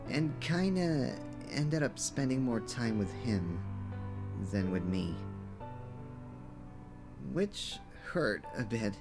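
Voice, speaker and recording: A man voicing a gentle, lonely young cursed character in an animated fan dub, hesitant and apologetic as he confides. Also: mid-range voice. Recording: music playing behind the voice.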